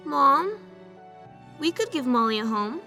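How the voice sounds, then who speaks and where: Woman as young child, earnest, piercingly high, lively intonation, children's read-aloud, music behind. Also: unhurried, heavily acted. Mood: eager. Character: earnest young child worried about a dog.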